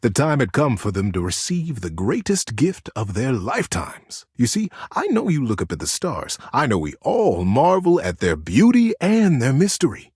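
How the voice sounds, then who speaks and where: Video game teddy bear, male, gentle bedtime storyteller, hugely varied intonation, dry close sound, dramatic pauses. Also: mid-range voice, huge pitch swings, slightly rough, no echo. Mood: earnest narrating.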